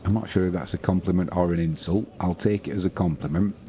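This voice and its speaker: A man in his fifties with a British accent, hosting a live football stream, chatting slowly and reading out scores in an unhurried, relaxed way. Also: sits low, a little hiss or hum. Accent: British English. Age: 50s. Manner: slow, unhurried, chatty, reads scores aloud. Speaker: football commentator and host.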